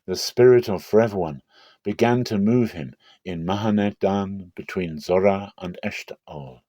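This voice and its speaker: A man narrating a dramatic reading of scripture in a solemn, deliberate and expressive storytelling style. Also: deep voice, no room sound.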